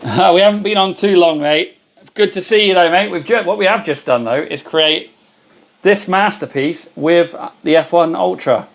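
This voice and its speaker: Host: hoarse man, slightly high voice, chatty and friendly with viewers, casual live-stream energy. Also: moderate pace. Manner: chatty, greets viewers by name. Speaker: livestream host.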